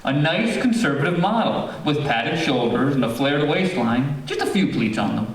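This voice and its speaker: Man playing an animated, exasperated radio-comedy dad. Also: fairly high pitch, normal speed, a little hiss or hum. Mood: placating. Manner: theatrically exasperated, explanatory. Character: exasperated, long-suffering father of a pestering little girl. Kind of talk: old-time radio comedy acting on stage. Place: theater stage. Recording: very echoey theater, distant mic.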